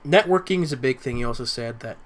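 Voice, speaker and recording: A man's voice: medium pitch, fast talker. A young man casually recapping his notes on a podcast. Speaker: podcast co-speaker.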